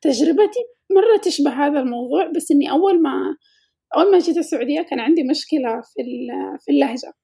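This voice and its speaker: High childlike-voiced woman, quick and gravelly. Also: mellow. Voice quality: childlike-sounding voice. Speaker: podcast co-host. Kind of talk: casual podcast chat.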